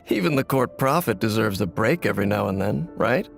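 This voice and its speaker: A man's voice: fairly low pitch, animated, normal speed, gravelly. A man voicing an easygoing, sociable swordsman in a video game, casually teasing. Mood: teasing, friendly. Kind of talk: dramatic game voice acting. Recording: music under the voice. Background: music.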